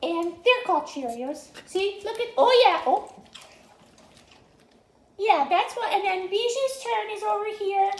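A cheerful little female dog, a food-loving beggar, chatters away in a very high, childlike sing-song voice, as a woman puts her on. She rambles like a homemade news host, and her voice rings around a roomy home kitchen. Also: animated, measured pace, pauses often. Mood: chatty. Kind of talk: pet vlog voiced as the dog.

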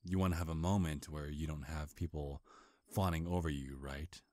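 A man playing a charming, sly male vampire in an intimate ASMR roleplay, soft-spoken, flirtatious and hesitant, with deliberate delivery. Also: rumbling low, steady tone, no echo. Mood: smooth, flirtatious. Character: charming, sly male vampire, centuries old, courting prey. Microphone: close, dry microphone.

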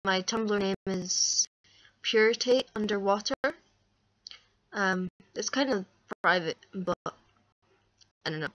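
A woman's voice: mid-range voice, slow pace, long pauses, fairly bright tone, no echo. Young woman w a crackly voice doing a rambly casual webcam vlog, kinda self-deprecating, dry sound. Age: young adult. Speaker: vlogger. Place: small dim room indoors. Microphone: webcam or laptop microphone up close.

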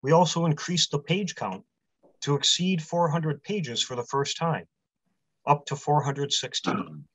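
A man in his forties, a publisher, giving a prepared convention talk from a small room over a video call, in a measured delivery that runs through long lists. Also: fairly high pitch, lively intonation, a bit bright, slightly rough, no echo. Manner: measured, list-heavy delivery. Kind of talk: prepared talk read aloud. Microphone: webcam on a video call. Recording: dry, slightly muffled webcam audio.

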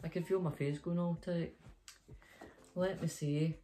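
A woman's voice: on the low side, steady tone, measured pace, mellow. A middle-aged or older Scottish woman, a grandmother, chatting playfully and reading a story to children in a bedroom. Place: small bedroom, indoors.

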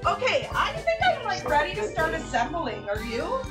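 A woman's voice: very high voice, big pitch swings, moderate pace. A woman in her thirties cooking live in her home kitchen, chatting casually, swearing and laughing at her own chaos. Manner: chaotic, swearing, laughing at herself. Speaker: streamer cooking along with a friend. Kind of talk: casual livestream chat. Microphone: fixed camera across the kitchen. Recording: roomy kitchen sound with music behind.

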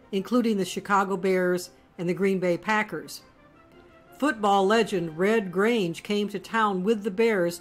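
A woman giving scripted documentary narration in a measured, storytelling delivery. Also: mid-range voice.

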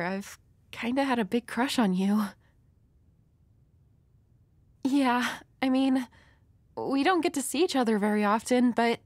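A woman's voice: on the high side, no echo. A woman playing a nervous, dorky young woman with a crush in an intimate roleplay audio, hesitant, stammering and apologetic. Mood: nervous, tender.

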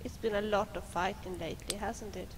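A woman voicing a ghostly woman archer who acts as a talkative companion in a video game, speaking gently and conversationally. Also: medium pitch, fairly even intonation, brisk, background noise, natural voice. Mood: wistful.

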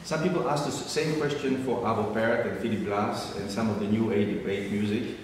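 A low-voiced man with a French accent talks to a concert audience in halting English, full of fillers and restarts. He stands far from the microphone on a large hall stage, so his words ring with long reverb, with faint room noise behind. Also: steady tone. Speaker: violinist addressing a concert audience. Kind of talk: unscripted speech from the stage.

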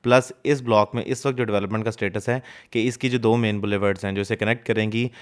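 A man talks fast over drone footage, voice clean and resonant. He walks the viewer through a map and the building work in a matter-of-fact explanatory way, slipping English terms into his sentences. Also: fairly low pitch, fairly even intonation. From Pakistan. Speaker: real estate consultant.

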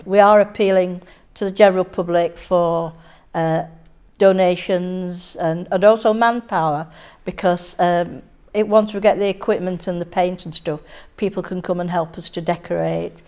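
An older woman from England, a cub scout leader giving an earnest interview in a scout hall, halting with many fillers. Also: lowish voice, fairly even intonation, a little mellow.

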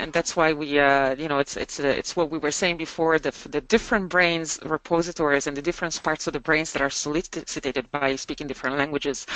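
A woman's voice: low voice, fairly bright tone, no room sound, rough recording. Woman presenting a webinar. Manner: chatty, many fillers and restarts. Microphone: video call.

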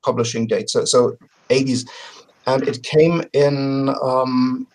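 A middle-aged man talking casually as an interview guest on a video call, often pausing to search for words. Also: mid-range voice, fairly even intonation, darkish tone, low-quality recording. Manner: halting, word-searching delivery. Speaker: podcast guest presenting a crowdfunding campaign. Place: home room with bookshelves, on a video call.

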